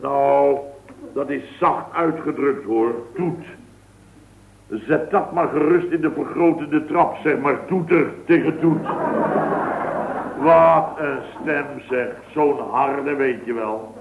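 A man's voice: mid-range voice, slow pace. A man playing a boastful, rambling contractor in a 1960s radio comedy. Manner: rambling, boastful, full of tag words. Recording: tinny, echoey old radio broadcast.

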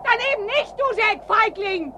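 A woman's voice: extremely high pitch, animated, normal speed, sharp tone, a little hiss or hum, full character voice. Bold, guarded woman agent. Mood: goading. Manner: needling, guarded. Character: bold, evasive woman agent, sharp-tongued and composed. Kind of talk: German radio play acting.

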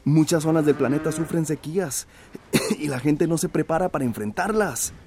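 A man's voice: a little high, normal speed, a little mellow, slight background noise, lightly acted. A man acting a concerned, reflective countryman with a cough in a radio soap. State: coughing repeatedly.

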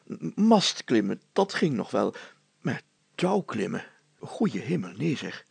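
A man's voice: medium pitch, sing-song, takes it slowly, gravelly, darkish tone, slightly rough, dry recording, voice pushed down, heavily acted. A man voicing a plump, fearful older mayor who stammers, blusters and protests in a children's story. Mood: flustered, reluctant. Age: middle-aged to older.

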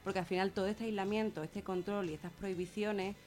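A woman's voice: mid-range voice, steady tone, fast talker, a little mellow. A woman with an accent from Spain explains things in a radio discussion, earnestly and with frequent rephrasing. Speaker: guest speaker on gender violence.

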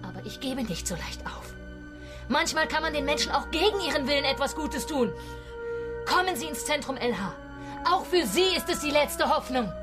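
A woman's voice: high voice, expressive, moderate pace, music in the background. An actress in a German radio drama playing a determined woman who pleads urgently and reproachfully for a project. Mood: resigned, firm. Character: determined, pleading female envoy of a space project.

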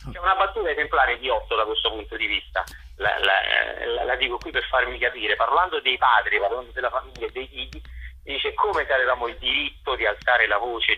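A man giving a radio interview as a theatre director and actor, articulate and discursive, pausing to search for words. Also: mid-range voice, husky, highs cut off. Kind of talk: radio interview, explaining and promoting work.

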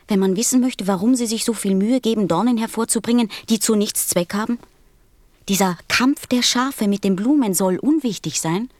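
A woman's voice: medium pitch, a little mellow, dry recording, natural voice. A woman playing a sensitive, earnest little boy prince in a radio play, speaking with insistence. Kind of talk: German radio play acting.

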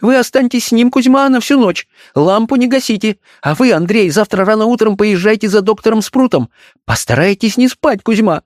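A man voicing an agitated, commanding doctor's wife in an audiobook story, high and urgent in manner. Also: expressive, normal speed, no room sound, clean studio sound. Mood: anxious, commanding. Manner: agitated, shrieking in fright.

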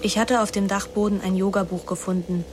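A woman's voice: mid-range voice, steady tone, brisk, natural voice. Woman playing moody teen girl telling her own story in a radio play, intimate, music behind her. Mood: reflective. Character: wilful, moody teenage girl narrating her summer. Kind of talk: first-person radio drama narration and dialogue.

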